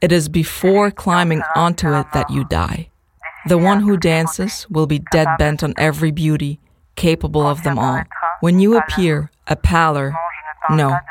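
A man's voice: on the high side, lightly acted. Actor as solemn male poet, noisy recording. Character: solemn poet addressing a tightrope walker about death. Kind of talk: poetic recited monologue, audio drama. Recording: clearly noisy recording with clicks.

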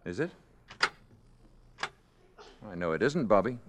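An actor playing a calm, courteous spaceman posing as a gentleman in a 1950s radio drama, measured and quietly assured. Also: on the low side, full character voice. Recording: 1950s radio broadcast recording.

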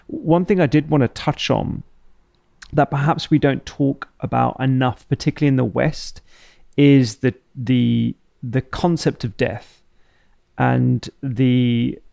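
A man hosting a podcast who asks thoughtful, halting questions with frequent restarts. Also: medium pitch, fairly even intonation, vocal fry, dark tone, dry recording, studio quality. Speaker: podcast host. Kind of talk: conversational interview.

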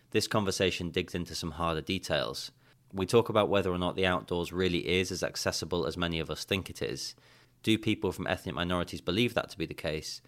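A man hosting an adventure podcast, reading a measured, earnest introduction for his guest. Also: sits low, fairly bright tone. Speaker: podcast host.

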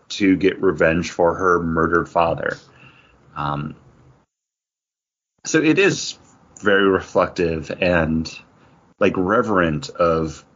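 A man's voice: sits low, a bit bright, breathy edge. A man chatting casually on a podcast review, phrasing his thoughts in hesitant pieces. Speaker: podcast panelist. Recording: dull, lo-fi audio.

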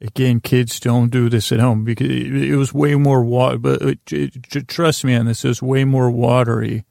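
A man with a creaky, gravelly voice at a middling pitch, recorded close and dry. He hosts a sleep podcast, meandering along with halting stammers, repeated false starts and a self-deprecating manner. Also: steady tone, a little mellow, no echo. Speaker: podcast host. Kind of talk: rambling sleep podcast monologue.

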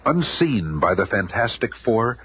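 A man acting as an excitable, grandiose narrator of a superhero radio serial. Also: lowish voice, a little slow. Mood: dramatic, urgent. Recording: lo-fi old radio, loud music bed.

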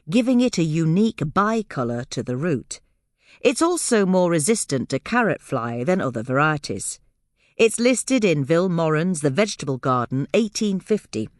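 Female narrator for scripted gardening podcast: animated pitch movement, measured explanatory read, very dry close sound. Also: dry recording.

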